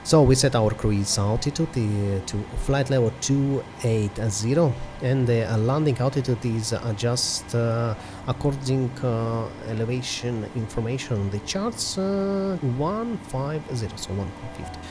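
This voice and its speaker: A man's voice: medium pitch, unhurried, hardly any pauses, background noise. A man hosting a flight simulator video, giving methodical step-by-step commentary in a hesitant delivery.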